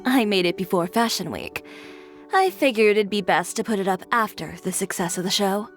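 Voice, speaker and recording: An actress playing a stern, self-assured young fashion designer in a video game, composed and blunt. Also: female voice, medium pitch, expressive, moderate pace, faint music behind, close to an everyday voice. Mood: calm, dry.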